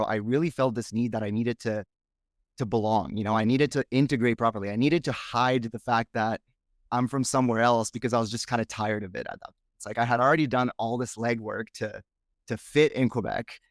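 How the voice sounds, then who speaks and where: A man's voice: mid-range voice, no echo, clean studio sound. A man from Romania, whose first language is Romanian, speaking as a podcast guest in a frank, reflective, casual interview chat. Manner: frank, reflective, thinks aloud.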